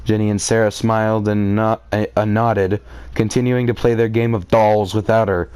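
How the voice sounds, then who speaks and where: A man's voice: lowish voice, flat delivery, moderate pace, a little mellow, smooth, no room sound. A man reading a story aloud and reacting to it with theatrical, exclamatory commentary and sarcastic asides. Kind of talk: live read-aloud with outraged commentary.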